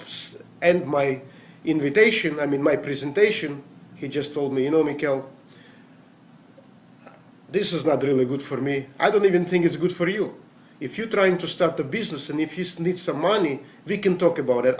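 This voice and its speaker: A man, giving an earnest, rambling motivational talk about network marketing success, often correcting himself mid-sentence. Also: fairly high pitch. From Latvia. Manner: earnest, rambling, self-correcting, non-native grammar. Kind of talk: motivational talk with personal stories.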